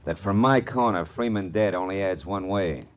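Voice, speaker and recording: Man playing a low-voiced, hardboiled American private eye. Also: normal speed, natural voice. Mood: cool, probing. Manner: terse, wry, clipped. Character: tough, sardonic male private detective. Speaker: lead actor and narrator. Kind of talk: 1940s hardboiled radio detective acting. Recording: vintage radio drama with music.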